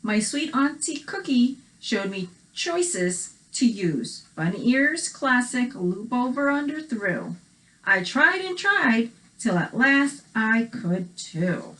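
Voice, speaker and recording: A woman co-hosting a children's read-aloud, telling the story in an animated, reactive way and chatting casually. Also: fairly high pitch, slow, low-quality recording.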